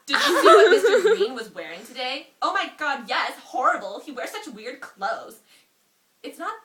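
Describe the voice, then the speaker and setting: A woman's voice: sits high, big pitch swings, moderate pace, bright, forceful tone, distant mic, pitched above the performer's own voice, exaggerated voice. A woman voicing a gossipy, catty schoolgirl in a story read aloud, in an exclamatory way. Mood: catty, amused. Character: gossipy schoolgirl mocking a teacher's clothes. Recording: roomy echo, faint hiss.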